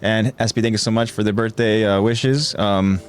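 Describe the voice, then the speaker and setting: Effusive man hosting, rambling warmly. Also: on the low side, steady tone, moderate pace, clear, resonant tone. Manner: effusive, rambling with many fillers. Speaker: podcast host. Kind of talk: casual live podcast chat.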